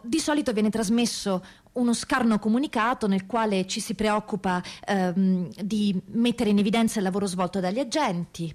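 A woman performing a scripted theatrical monologue, deliberate and rhetorical, with dramatic pauses. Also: medium pitch, a little mellow. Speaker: stage performer and narrator.

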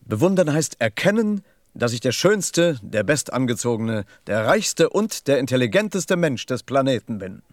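A man acts as a conceited dandy in a radio play, hungry for admiration. His voice is mid-pitched and closely recorded in a dry space, his delivery pompous and pleased with itself. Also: very animated, moderate pace, no echo, close to an everyday voice. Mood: boastful. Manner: pompous, self-satisfied. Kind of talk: German radio play acting.

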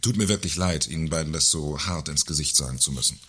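A man playing a pompous, husky company director. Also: extremely low pitch, fast, bright, forceful tone, exaggerated voice. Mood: condescending, stern. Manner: pompous, long-winded sarcasm. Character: condescending, sarcastic male company director, self-important. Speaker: actor in a satirical audio drama. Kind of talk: German radio play dialogue.

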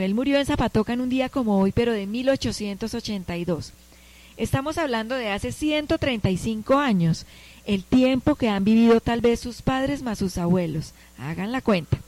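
A woman acting as a brisk history teacher addressing a noisy teenage class in a radio drama, emphatic and instructive. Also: fairly high pitch, darkish tone, pitched above the performer's own voice, close to an everyday voice.

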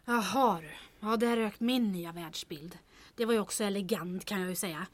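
A woman playing a blunt, impatient young woman in an audio drama, with a husky voice and a dry, sardonic manner. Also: mid-range voice, lightly acted. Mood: weary, fed up. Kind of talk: serial audio drama acting.

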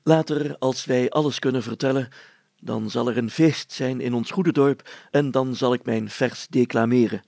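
A man's voice: medium pitch, fairly even intonation, moderate pace, creaky, darkish tone, no echo. A man voicing a pompous, blustering village mayor from a storybook, speaking in grandiose, declamatory style. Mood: proud, blustering. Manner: grandiose, declamatory, easily indignant. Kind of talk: storybook character voice.

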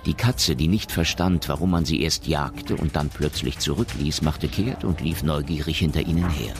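An actor narrating a science fiction radio drama as a sober storyteller. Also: male voice, a bass voice, moderate pace, creaky, music in the background. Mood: sober, measured.

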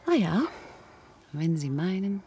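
A woman's voice: fairly low pitch, lively intonation, slow pace, warm tone, slight background noise. Dreamy, whispering woman in radio drama. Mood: soft, curious. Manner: breathy half-whispers, wistful. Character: dreamy, anxious woman in a futuristic paradise park. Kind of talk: German science-fiction radio play acting.

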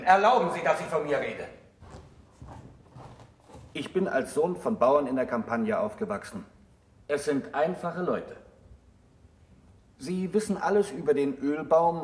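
An earnest, troubled young monk in an echoey radio drama. His voice sits fairly high for a man, and his delivery is formal, rhetorical and deliberate, in the manner of a stage speech. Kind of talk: German stage-like radio play acting. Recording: echoey room, old radio play.

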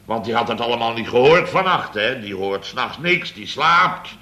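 Muddled male boss, radio sitcom: bright, tense delivery, repetitive and blustery. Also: medium pitch, lively intonation, moderate pace. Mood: grumbling. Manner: rambling, repetitive, tags phrases with "hè". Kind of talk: 1970s Dutch radio comedy acting.

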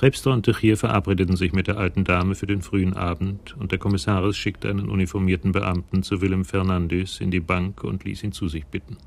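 A man narrating a radio crime play from a script in a measured, literary, matter-of-fact way. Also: sits low, little pitch movement, vocal fry. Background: music.

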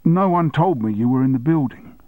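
A man's voice: mid-range voice, lively intonation, fairly quick, dry recording, phone-quality sound, voice pushed up, lightly acted. A man voicing a startled security guard who apologizes to his boss. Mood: apologetic.

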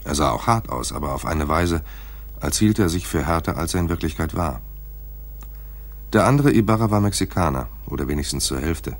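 An actor playing a wry, hard-boiled private detective, narrating a radio play in a dry, laconic, sardonic way. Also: male voice, rumbling low, expressive, a little fast, heavily acted. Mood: brooding, wry.

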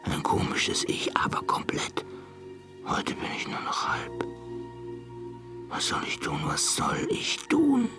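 A man's voice: high-pitched, wildly swooping pitch, slow pace, pauses often, music in the background, exaggerated voice. An actor in a German radio drama playing the tormented inner voice of a half-ape man, whispery and brooding. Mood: anguished.

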